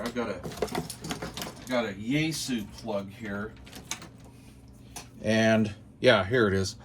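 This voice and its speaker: A man with an average-pitched voice presents a hands-on gear review. He talks informally, thinking aloud in short phrases as he goes. There is noticeable noise and some rustling behind him. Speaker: host of a radio gear channel. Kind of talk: casual unboxing and product commentary.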